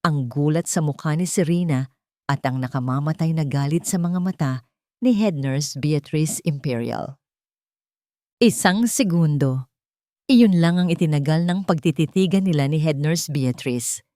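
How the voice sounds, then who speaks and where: Low-voiced Filipino woman narrating a dramatic story. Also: unhurried, warm tone, no echo, studio quality.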